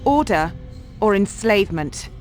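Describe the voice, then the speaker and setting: A woman's voice: medium pitch, lively intonation, unhurried, soft background music, close to an everyday voice. A woman playing a principled, defiant female Templar in a video game, challenging and earnest. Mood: indignant.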